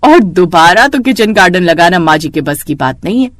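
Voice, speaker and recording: A woman's voice: lowish voice, expressive, moderate pace, pitched below the performer's own voice, close to an everyday voice. A woman voicing a scheming young daughter-in-law in a moral story, with a dramatic, complaining manner. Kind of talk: Hindi moral story voice acting.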